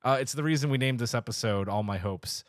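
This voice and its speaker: A man co-hosting a podcast in casual, heartfelt chat, telling stories emphatically and haltingly. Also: medium pitch, dry recording, studio quality. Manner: emphatic, halting, enthusiastic storytelling with acted-out quotes. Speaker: podcast co-host.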